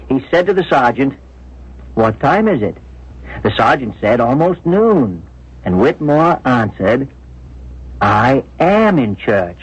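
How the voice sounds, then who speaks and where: An actor playing a grave, considerate police inspector in a 1970s radio drama, speaking in a measured, deliberate, official way. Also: male voice, medium pitch, breathy edge. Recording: muffled vintage radio broadcast.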